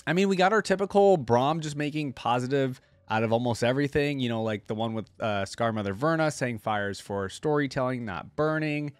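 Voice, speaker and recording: A man's voice: medium pitch, a little fast, few pauses, no echo. A man hosting a reaction video, talking casually and informally with plenty of enthusiasm into a close mic.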